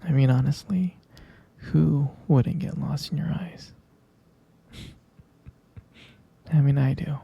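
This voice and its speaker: A performer playing a tender, doting partner at bedtime in an intimate ASMR roleplay, in a breathy, gravelly whisper with a halting, affectionate delivery. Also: mid-range voice, dull audio, heavily acted. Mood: adoring, flirtatious. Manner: slow, hushed, halting, affectionate.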